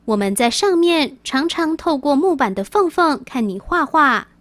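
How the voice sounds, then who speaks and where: A woman's voice: sits high, lively intonation, moderate pace, a bit bright. A woman with a Taiwanese accent reading out scripted quiz sentences.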